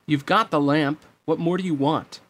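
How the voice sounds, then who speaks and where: A man's voice: mid-range voice, moderate pace, gravelly, close to an everyday voice. A man voicing an impassioned young street-rat hero, urgent and earnest, in a storybook reading. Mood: indignant.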